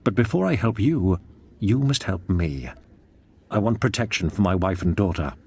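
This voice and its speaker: A proud imprisoned aristocrat for a video game. His voice is low and husky, with sweeping intonation, and his phrasing is formal and guarded. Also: lively intonation, a little mellow. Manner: formal, deliberate, haughty phrasing. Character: proud captive nobleman, guarded, bargaining for his family. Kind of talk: dramatic video game acting.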